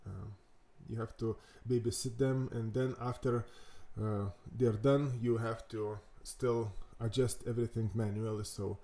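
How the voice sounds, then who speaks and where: A man with a Lithuanian accent hosting a coding tutorial, thinking aloud in a halting way with many fillers and restarts. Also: medium pitch, fairly even intonation, dark tone. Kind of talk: casual screen-recorded coding walkthrough.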